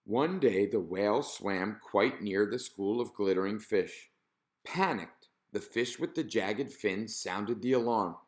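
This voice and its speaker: Male storybook narrator, slightly low register, reading aloud for children. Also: expressive, slow. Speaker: storybook reader.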